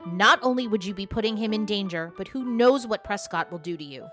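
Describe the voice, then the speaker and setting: An actress as a dry, stern intelligence boss in an audio comedy. Her mid-pitched voice is curt and deadpan, with faint music behind it. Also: a little fast, close to an everyday voice. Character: stern, dry, no-nonsense female spy chief. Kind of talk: comedic audio drama acting.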